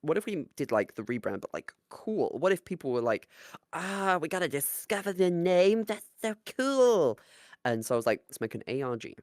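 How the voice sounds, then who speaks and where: Giggly, lively podcast co-host. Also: mid-range voice, moderate pace, dry recording, studio quality. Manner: giggly, self-mocking, swears casually. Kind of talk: casual podcast chat.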